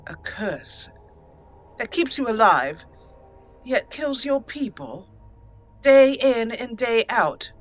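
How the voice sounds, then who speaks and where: A woman hosting a let's play, narrating in a theatrical, deliberate roleplay style with dramatic pauses. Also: fairly high pitch, very animated, faint music behind. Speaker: gaming channel host.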